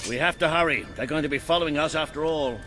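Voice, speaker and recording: An actor playing an earnest, steadfast veteran soldier and rebel leader in a fantasy video game. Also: male voice, on the high side, fast, close to an everyday voice. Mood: urgent. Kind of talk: dramatic game dialogue. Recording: echoey stone-hall reverb, faint music.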